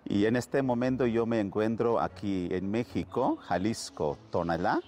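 A man's voice: on the low side, unhurried, a little mellow. A man from southern India with an Indian accent, a priest introducing himself in unscripted talk. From Kerala, southern India. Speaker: priest, interview guest.

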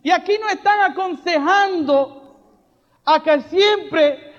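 A man preaching a charismatic sermon, fervent and rising to shouted refrains, with joking asides and soft laughs. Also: very high-pitched, slow. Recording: reverberant hall, dull lo-fi sound.

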